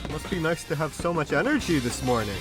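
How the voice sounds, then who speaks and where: A man's voice: fairly high pitch, very animated, fairly quick. A man playing an upbeat, friendly young man in an anime fan dub, with a breezy, teasing manner. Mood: cheerful. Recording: music behind the voice.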